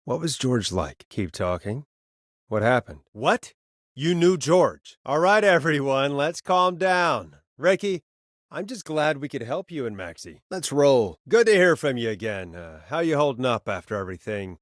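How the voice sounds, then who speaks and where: A world-weary late-night radio host in a video game. His mid-pitched male voice moves around a lot, close and dry in sound. He is sardonic and conversational, with a caring streak under the wry patter. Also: big pitch swings, measured pace, no echo. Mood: concerned, gentle.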